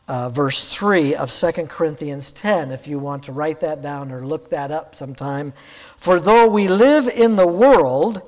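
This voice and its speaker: Croaky, high-voiced male pastor preaching. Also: darkish tone, long reverb tail. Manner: unhurried, conversational preaching with frequent fillers. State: hoarse, croaky voice. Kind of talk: sermon.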